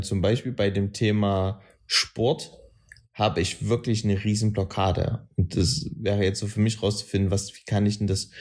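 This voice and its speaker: A man's voice: lowish voice, a little mellow. A man with a Saxon German accent chatting casually on a podcast, rambling, correcting himself and using blunt slang. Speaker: podcast co-host. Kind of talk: casual chat.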